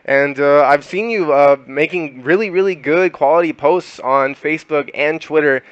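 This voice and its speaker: A man's voice: on the high side, moderate pace, smooth, no echo, muffled recording. A young man hosting a gaming podcast, chatting loosely with many fillers and laughs. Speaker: podcast host. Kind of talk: casual podcast chat.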